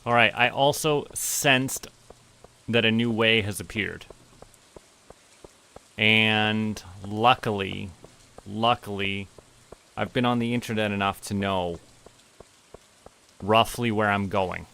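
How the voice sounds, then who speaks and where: A man's voice: lowish voice, steady tone, takes it slowly, gravelly, pauses often, sharp tone, clear, resonant tone. A man, playfully thinking aloud and narrating what he does as he streams a game. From Canada. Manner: playful, narrates own actions and reads game text aloud.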